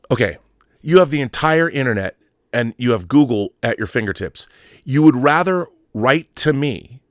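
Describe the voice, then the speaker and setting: Animated middle-aged American man, exasperated and emphatic. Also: medium pitch, dry recording, clean studio sound. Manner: rambling, acts out imagined dialogue. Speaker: online show host. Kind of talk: casual opinionated monologue rant.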